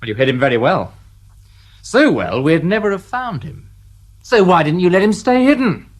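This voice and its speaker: Dry, mocking detective inspector, highish voice, lively inflection; radio play. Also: male voice, normal speed. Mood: probing. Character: sharp, sardonic male detective inspector. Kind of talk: radio drama acting.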